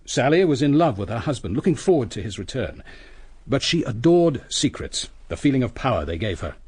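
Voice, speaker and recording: Husky, probing British police inspector. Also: male voice, medium pitch, sing-song, a little mellow. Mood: reflective, intent. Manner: measured, deliberate, theatrical reconstruction of events. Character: coolly brilliant male police inspector, methodical and probing. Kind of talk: British radio detective drama.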